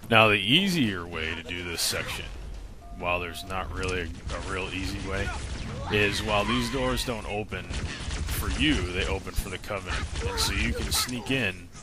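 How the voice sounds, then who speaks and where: Low-voiced man explaining a game. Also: moderate pace, music in the background. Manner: plain, instructional, informal. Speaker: game walkthrough narrator. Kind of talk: casual explanatory gameplay commentary. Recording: voice-over with clear game noise behind.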